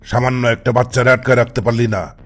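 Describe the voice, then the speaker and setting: A man's voice: medium pitch, fairly even intonation, fast talker, lightly acted. A man voicing a bossy, irritable kidnapper boss in a comic cartoon, scolding and commanding in colloquial Bangladeshi Bengali. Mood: irritated scolding. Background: music.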